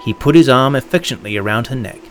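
A man narrates from a script in a medium-pitched voice. He works as a storyteller for an audio production, with music playing behind him. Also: expressive, racing, a bit bright. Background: background music.

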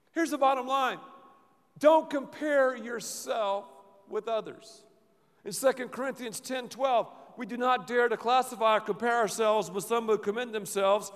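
High-pitched pastor guy giving a sermon, goes dramatic then quiet, big echoey church. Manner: playful, dramatic preaching with sudden drops to a low murmur. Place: large church hall. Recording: reverberant church hall.

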